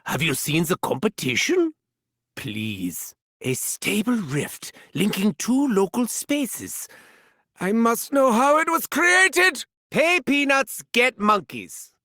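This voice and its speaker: Manic German mad scientist, wildly swooping pitch. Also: male voice, big pitch swings, slow pace, sharp tone, no echo, clean studio sound, heavily acted. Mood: smug. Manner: pompous, theatrical, gleefully sinister. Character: arrogant, unhinged male German mad scientist doctor. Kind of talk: video game character voice lines.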